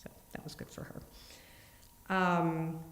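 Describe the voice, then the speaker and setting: A woman giving an earnest, steady talk in a synagogue, then answering questions, with small restarts. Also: low voice, slow pace, whispered. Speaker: congregant giving a family history talk. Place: synagogue sanctuary. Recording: echoey room.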